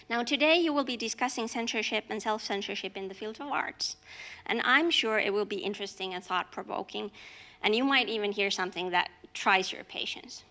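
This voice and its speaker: A woman's voice: fairly high pitch, pushed and bright. A woman ending a keynote speech in an earnest tone and asking rhetorical questions. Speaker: keynote speaker. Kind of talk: formal closing of a speech.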